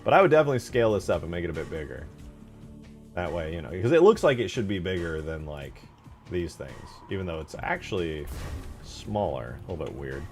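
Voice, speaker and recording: A man's voice: sits low, a little mellow. A man giving casual, unscripted commentary as he works on a game mod, thinking aloud in informal, slangy phrasing. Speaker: game modder hosting a development stream.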